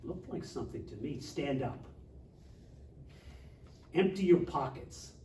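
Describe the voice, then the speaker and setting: An actor playing a sarcastic, confrontational teacher of fifty in a live comedy table read. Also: male voice, a little high, animated, unhurried, dark tone. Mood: suspicious, demanding. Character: hard-ass, sarcastic male high school teacher, fifty. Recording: echoey room, distant mic, faint noise.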